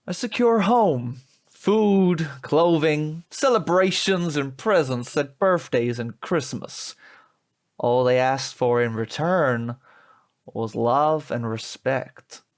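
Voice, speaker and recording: A man playing a prim, smugly polite young replacement son in a comic audio drama, formal, measured and reproachful. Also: fairly high pitch.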